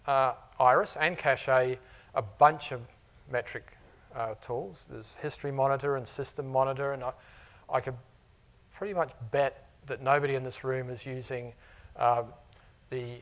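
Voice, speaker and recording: A middle-aged man with a New Zealand accent mixed with Australian, giving a conversational conference talk to customers, with many "you know"s and "uh"s. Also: medium pitch. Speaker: technology architect presenting to customers. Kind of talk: conference presentation. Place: conference room before a live audience.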